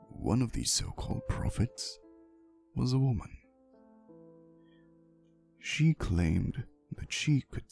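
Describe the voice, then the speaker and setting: A man playing an ancient vampire boyfriend in an intimate ASMR roleplay, soothing and unhurried, gently teasing and fond as he tells stories. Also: on the low side, creaky, heavily acted.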